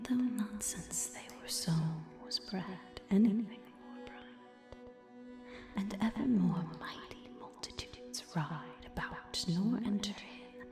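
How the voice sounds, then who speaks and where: A woman whispering poetry in a gentle, hypnotic, dramatic ASMR style, as a gothic vampire hypnotist. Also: medium pitch. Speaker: ASMR performer. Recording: echoing layered whispers over music. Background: music.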